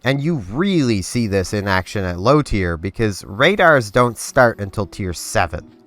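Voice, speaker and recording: A man's voice: lowish voice, big pitch swings, measured pace, fairly bright tone, no echo. A congested young man hosting a gaming channel, rambling casually over gameplay with lots of fillers and restarts. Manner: rambling, filler-heavy, self-interrupting. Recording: dry close recording with faint game music.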